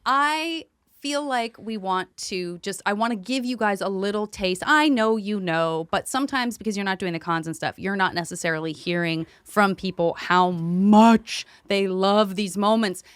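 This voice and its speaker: A woman's voice: mid-range voice, moderate pace, clear, resonant tone, dry recording, studio quality. A woman co-hosting a casual podcast chat, speaking expressively and with emphasis. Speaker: podcast co-host.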